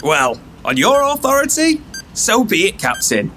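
Actor as a sly, animated elf rogue. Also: mid-range voice, unhurried, very noisy. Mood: teasing. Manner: teasing, theatrical, sarcastic. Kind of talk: audio drama acting.

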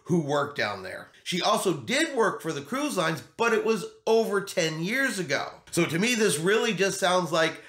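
A man's voice: high-pitched, very animated, moderate pace, few pauses, a bit bright. A middle-aged man explaining things to camera in an emphatic way, repeating his key points as a vlog host. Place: small home studio room, indoors. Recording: roomy indoor echo.

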